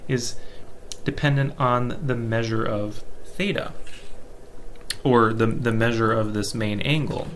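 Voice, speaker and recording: A man's voice: medium pitch, steady tone, takes it slowly, a bit bright. Man teaching step by step, noisy recording. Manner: step-by-step, checks in with "okay". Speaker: instructor. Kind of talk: informal explanatory lesson.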